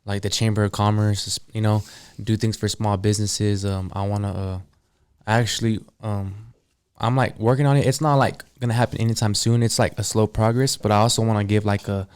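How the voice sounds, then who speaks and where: A man's voice: lowish voice, fairly even intonation, a little fast, a little mellow, clean tone. A man in his early twenties, a barber, talking in a casual group chat and rambling with lots of "like" and "you know.". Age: 20s.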